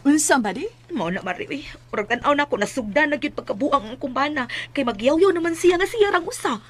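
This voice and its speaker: A woman acting as a nagging wife at the end of her patience, with a Filipino Cebuano accent. She scolds and complains in a high voice, in the style of a comic radio play. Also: expressive, quick pace, faint music behind. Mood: resigned complaining. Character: exasperated, nagging, worried wife. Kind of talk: comedic radio drama acting.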